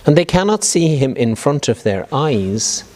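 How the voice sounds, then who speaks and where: A man giving a scripted sermon reflection as an archbishop, in formal, erudite language with measured phrasing. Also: mid-range voice, big pitch swings, a little mellow. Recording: long reverberant hall echo.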